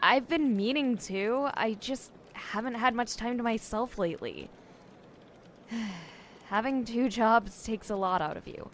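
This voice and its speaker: A woman's voice: fairly high pitch, unhurried, fairly bright tone, slight background noise. An actress playing a wry, hardworking young woman investigator in an audio drama, casual and dry. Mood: weary.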